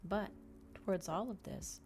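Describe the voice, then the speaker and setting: A woman reading a story aloud in ASMR style, soft-spoken and measured, as a streamer narrating a novel. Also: medium pitch, a little hiss or hum.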